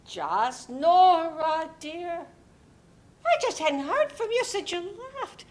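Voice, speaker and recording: A woman playing a warm, fussy, motherly older neighbour in a dramatic play reading, doting and fretful as she chats. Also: extremely high pitch, sing-song, takes it slowly, full character voice. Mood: concerned.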